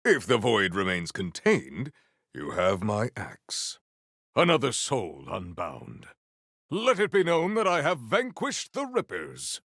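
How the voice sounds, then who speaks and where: Stoic video game warrior, formal measured diction, heavy gravelly creak, close dry recording. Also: male voice, fairly low pitch, wildly swooping pitch, fairly bright tone, dry recording, exaggerated voice. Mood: solemn, then commanding, fierce.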